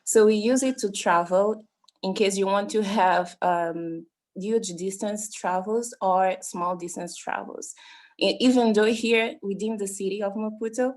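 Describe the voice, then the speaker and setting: A woman's voice: mid-range voice, steady tone, measured pace. A woman from Mozambique giving an impromptu speech on a video call, hesitant and searching for words. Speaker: meeting participant.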